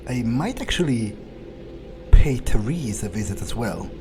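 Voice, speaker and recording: Guy doing a cold grandiose vampire plotting for a game, slow talker, faint music behind. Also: medium pitch, very animated. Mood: brooding, scheming. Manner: brooding, deliberate, grandiose, with trailing pauses. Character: power-hungry, menacing male vampire, musing coldly on revenge. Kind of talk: in-character game playthrough monologue.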